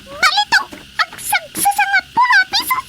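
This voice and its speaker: An actor voicing a tiny, bossy creature of an underground kingdom in radio comedy, with a squeaky, childlike voice and a commanding manner. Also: animated, normal speed. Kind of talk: radio comedy drama acting. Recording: loud noise behind the voice.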